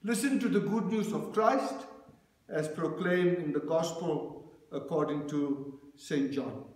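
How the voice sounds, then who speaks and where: Slow-speaking male minister in echoey church. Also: on the high side, darkish tone. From South Africa. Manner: slow, measured reading cadence. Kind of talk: sermon with scripture reading. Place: church sanctuary at a lectern. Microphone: lectern microphone, far camera.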